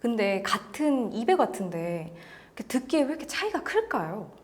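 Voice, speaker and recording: A woman's voice: on the high side, big pitch swings, normal speed. A woman co-hosting in a conversational way, asking questions, acknowledging briefly and echoing key terms. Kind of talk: conversational interviewing.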